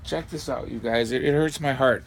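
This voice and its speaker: A man's voice: medium pitch, moderate pace, gravelly, slight background noise. An American man giving casual, opinionated and blunt online commentary over clips he plays. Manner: opinionated, blunt, swearing, mimics others mockingly.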